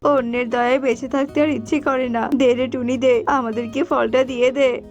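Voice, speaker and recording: A woman's voice: high-pitched, fairly even intonation, moderate pace, a little mellow, muffled recording, soft background music. A woman voicing a selfish, cunning male bird in a children's cartoon, by turns scolding and wheedling, on a noisy track. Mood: self-pitying. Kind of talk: Bengali children's cartoon dubbing.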